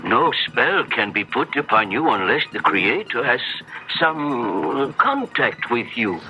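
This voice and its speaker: An actor playing a scholarly professor of the occult in a 1970s radio drama, grave, inquisitive and deliberate. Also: male voice, mid-range voice, very animated, bright, forceful tone, heavily acted. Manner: deliberate, slightly hesitant, learned. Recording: dull, muffled 1970s radio broadcast.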